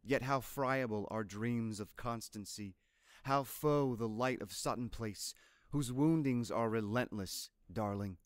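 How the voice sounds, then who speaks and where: Slow, smooth-voiced man reciting poetry. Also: mid-range voice, dry recording, clean studio sound. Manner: measured, deliberate, literary delivery. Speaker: poetry reader.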